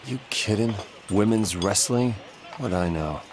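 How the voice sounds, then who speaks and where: A man's voice: on the low side, measured pace, crowd noise, natural voice. A man playing an evasive, hesitant boyfriend in his thirties in naturalistic video game dialogue. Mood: defensive. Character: evasive, slacker boyfriend man dreading marriage. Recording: music playing behind the voice.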